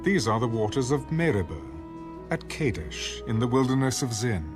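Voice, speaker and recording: A man's voice: mid-range voice, expressive, moderate pace, lightly acted. A man playing a formal, solemn narrator in a dramatized audio Bible. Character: formal male narrator of scripture. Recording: music bed under the voice.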